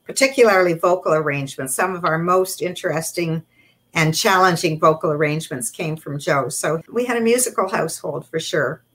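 Older Canadian woman, children's performer, measured interview guest, lower voice. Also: fairly bright tone. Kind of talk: interview storytelling.

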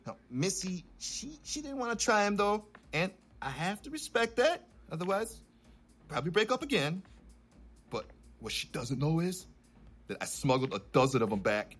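An actor playing an excitable, scatterbrained male stoner drug dealer, chummy and slangy, in a comic audio drama. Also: high voice, normal speed, exaggerated voice. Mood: eager. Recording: music behind voice.

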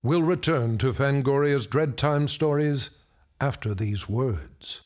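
A man's voice: medium pitch, slow pace, a little mellow. A man reading scripted announcements as the announcer of a radio drama show.

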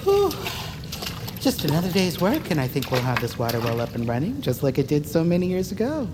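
Folksy excitable worker critter, low voice, water noise all around. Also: female voice, a little fast. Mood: satisfied, then curious. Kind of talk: family audio drama acting.

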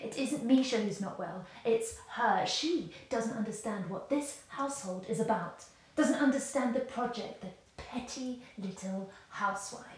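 An actress playing a proud, resentful housemate in an audio drama, with a measured, scornful manner. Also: female voice, fairly high pitch, close to an everyday voice. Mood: bitter scorn. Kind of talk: naturalistic audio drama dialogue. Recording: very echoey room.

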